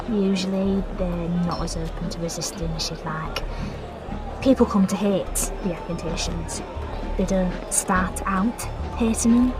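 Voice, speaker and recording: A woman's voice: medium pitch, lightly acted. An actress voicing a scarred female resistance fighter who talks in an easygoing, wry way and laughs easily. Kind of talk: audio drama acting.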